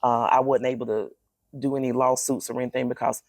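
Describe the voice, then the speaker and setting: Middle-aged woman, deep raspy voice, creaky edge, expressive, casual interview guest, dry close sound. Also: fast, no room sound. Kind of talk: candid personal storytelling in casual interview.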